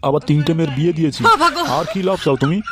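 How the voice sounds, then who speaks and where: Fast-talking crafty village uncle played by a man. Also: on the high side, fairly even intonation, background noise, natural voice. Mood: boastful, chatty. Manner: colloquial, wheedling, swearing. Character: crafty, foul-mouthed older Bengali village uncle, fish trader. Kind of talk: comedy cartoon dubbing.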